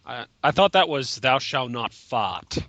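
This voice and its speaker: A man's voice: medium pitch, measured pace, no echo, highs cut off. A man bantering casually as a co-commentator in an amateur reading, with a mocking, joking manner. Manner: mocking, jokey, animated.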